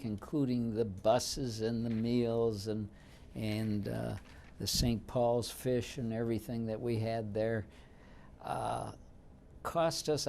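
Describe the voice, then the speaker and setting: Older man in his seventies, Yiddish first language, gravelly low voice, unhurried interview storyteller, light background hiss. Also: mellow. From Detroit, United States. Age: 70s. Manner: reminiscing, unhurried, with frequent fillers. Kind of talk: oral history interview.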